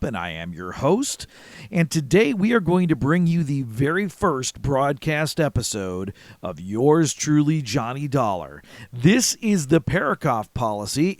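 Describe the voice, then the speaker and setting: A man hosts and introduces vintage radio programs. His pitch moves in wide, lively swings, and the sound is close and dry with no room around it. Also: no room sound. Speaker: host of old-time radio show. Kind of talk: scripted-sounding introduction, presenting.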